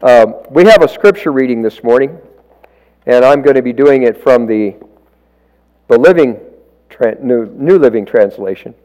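A man preaching in church, telling folksy stories with self-deprecating asides and a loud, projecting voice on a stage microphone. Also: medium pitch, expressive, moderate pace, long pauses, low-quality recording. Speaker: lay preacher. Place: church sanctuary. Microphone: stage microphone, walks around.

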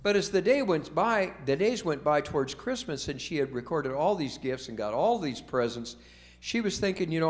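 A man in his seventies telling a story from memory to children in a folksy, chatty way, often asking them questions. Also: a little high. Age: 70s. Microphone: told from memory without notes.

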